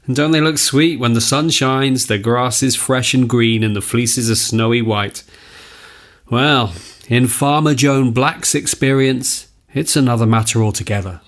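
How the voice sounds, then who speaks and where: A man's voice: medium pitch, unhurried, natural voice. A man playing a genial farm news presenter on a spoof radio programme, reading from a script. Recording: faint music behind the voice.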